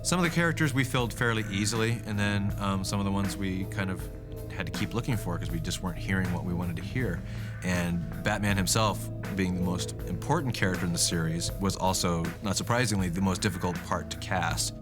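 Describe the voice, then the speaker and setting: A man's voice: sits low, fairly quick, music in the background. A man, a producer, reminiscing casually in a documentary interview. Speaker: animated series producer.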